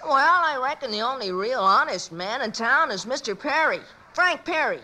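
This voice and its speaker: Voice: high-pitched, lively intonation, normal speed, pushed and bright, full character voice, rough recording. An actor playing an eager, cheeky young American boy, earnest and chatty, in an old radio western. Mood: earnest, confiding. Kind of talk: 1950s radio western acting.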